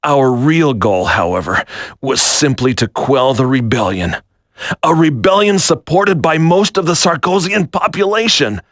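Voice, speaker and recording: An actor playing a grave military commander turned traitor in a video game, solemn, deliberate and resigned to fate. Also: male voice, on the high side, a bit bright, no room sound, clean studio sound. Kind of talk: dramatic scripted video game acting.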